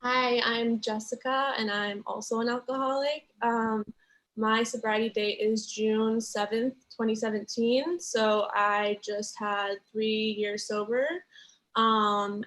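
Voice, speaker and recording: Woman from denmark, fairly high voice, laid back, lots of ums. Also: slow pace, poor audio. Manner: relaxed and hesitant, many ums. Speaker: panelist. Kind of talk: casual personal introduction.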